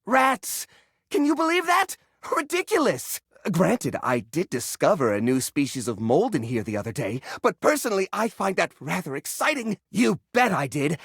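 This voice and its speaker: A man voicing an eccentric, cheerful hoarder in a video game, theatrical and excitable, rambling like a proud tour guide. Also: sits high, moderate pace, vocal fry, husky, no echo, studio quality, heavily acted. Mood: indignant, proud. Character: eccentric, cheerful male hoarder personifying a junk drawer. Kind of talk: animated video game voice acting.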